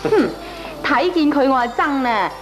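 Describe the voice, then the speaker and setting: An indignant, impatient woman in a Cantonese radio comedy. Her voice is very high and exclaiming, with a Hong Kong accent. Light music sits behind it. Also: expressive, moderate pace, a bit bright. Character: indignant, hot-tempered, impatient woman neighbour.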